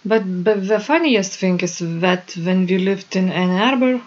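A woman from Lithuania with a Lithuanian accent, co-hosting a podcast in casual chat, modest and quick to laugh. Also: medium pitch, dull audio. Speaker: podcast co-host.

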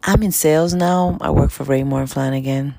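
A woman's voice: deep voice, steady tone, fairly quick, creaky, dark tone, dry recording. A woman in her late fifties with a New York accent, giving an informal motivational talk in emphatic, repeated short phrases. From Dominican Republic, raised in Washington Heights, New York City. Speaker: spiritual self-help vlogger.

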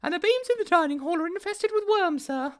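A man voicing a fretful, scurrying school secretary with an anxious, hurried manner. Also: very high voice, expressive, voice pushed up.

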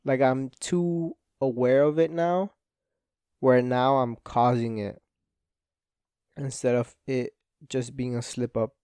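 Young man, Spanish speaker, podcast host, rambling, swears a lot, smooth voice, dry close sound. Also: medium pitch, fairly even intonation, takes it slowly, long pauses, mellow, dry recording. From Spanish-speaking home. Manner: rambling, profane, self-deprecating, frequent "like" fillers. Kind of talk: casual solo podcast monologue.

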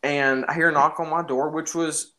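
A man's voice: fairly high pitch, steady tone, moderate pace, sharp tone, slight background noise. A young man casually telling an anecdote in an informal, jokey, exaggerating way. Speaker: storyteller in a podcast-style phone chat.

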